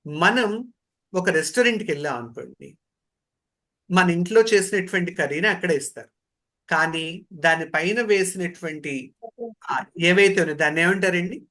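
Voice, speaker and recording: A man's voice: high-pitched, moderate pace, long pauses, sharp tone. A man giving an interactive training lecture in a didactic way, posing questions and repeating key phrases. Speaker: voice modulation trainer.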